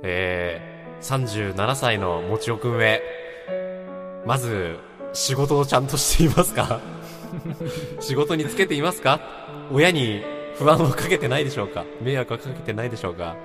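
A man in his twenties hosting a casual radio chat among friends, teasing and quick to laugh. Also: medium pitch, lively intonation. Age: 20s. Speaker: radio show host. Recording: background music under the voice.

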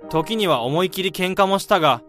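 A young man acting in a radio drama as a steady, caring friend, speaking in a measured, reflective way. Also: high-pitched. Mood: nostalgic. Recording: music and crowd sounds behind the voice. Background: music and crowd sounds.

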